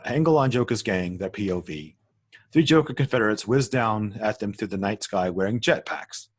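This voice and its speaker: Male narrator, raspy, lowish pitch, matter-of-fact, reading screenplay directions, occasional stumbles. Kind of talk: script table read narration.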